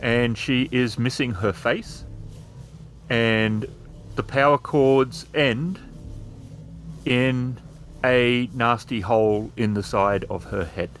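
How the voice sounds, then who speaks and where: A man's voice: mid-range voice, fairly even intonation, takes it slowly, pauses often, a bit bright, faint music behind. A man working as game master, narrating a horror scene descriptively for a tabletop roleplaying game. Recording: faint background ambience noise.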